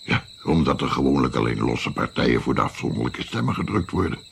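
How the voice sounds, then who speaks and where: An older musician telling his past in a radio play. His deep voice creaks and moves expressively as he muses and mocks himself. Faint nature ambience sits behind him. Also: fairly quick, slight background noise, exaggerated voice. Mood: reflective. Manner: musing, hesitant, expressive. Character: older musician looking back, reflective, self-mocking narrator. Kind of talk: Dutch radio drama, storytelling in dialogue.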